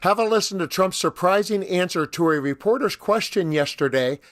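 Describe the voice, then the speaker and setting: A man with a slightly rough voice hosting scripted political commentary in a sarcastic, mocking manner. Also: high-pitched, lively intonation, normal speed, no room sound, studio quality. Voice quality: somewhat rough voice, as the speaker says. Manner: wry, mocking. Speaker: commentary host.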